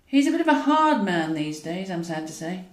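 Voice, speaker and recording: A woman's voice: lowish voice, very animated, moderate pace. A woman playing an earnest, politely tentative male prison governor with hopes as a singer, in an audiobook. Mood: regretful. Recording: roomy echo, distant from mic.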